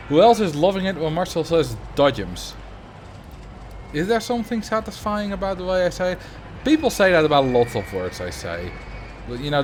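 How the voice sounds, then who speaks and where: A man's voice: medium pitch, slight background noise. Chatty Dutch-accented man streaming. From Netherlands. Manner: chatty, greets viewers, thinks aloud while building. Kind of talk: casual livestream commentary.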